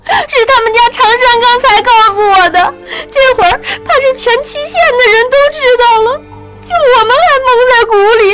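Storybook maid, young and frightened, weeping. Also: male voice, brisk, over loud music, rough recording. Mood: crying, frantic. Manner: crying, high falsetto, breathless rush.

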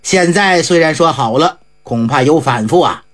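A woman voicing an eccentric, jovial old male monk in a traditional storytelling performance, with a gruff, drawling and offhand manner. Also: low-pitched, lively intonation, moderate pace, voice pushed down.

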